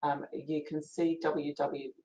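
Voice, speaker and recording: A soft-spoken woman presents a legal information webinar, her voice low and quiet. She talks in a chatty, informal way with plenty of fillers. The sound comes through an echoey video call. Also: flat delivery, a little slow. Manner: chatty, with fillers and a ready laugh.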